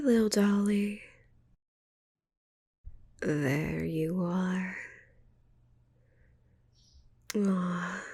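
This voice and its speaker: A woman's voice: medium pitch, darkish tone, no echo, exaggerated voice. A woman voicing a possessive, sweetly menacing witch in a cooing, condescending ASMR roleplay whisper. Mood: cooing, teasing. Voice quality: breathy, creaky whisper.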